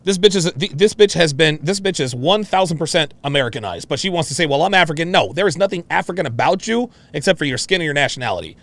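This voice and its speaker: Animated, high-pitched brash man. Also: fast talker, hardly any pauses, no room sound. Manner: brash, mocking, profane, repetitive. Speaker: host of a commentary show. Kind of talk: reaction commentary on a played clip.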